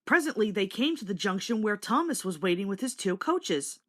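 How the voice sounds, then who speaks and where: A woman narrates a children's storybook in a scripted read-along style. Her voice is medium in pitch, with very lively, varied intonation. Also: normal speed.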